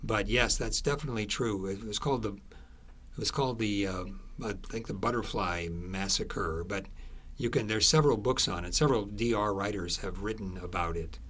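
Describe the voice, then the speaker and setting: A man being interviewed as an author, explaining things conversationally in a halting, storytelling way with repeated phrases. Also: on the low side, fairly bright tone. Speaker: author being interviewed about his book.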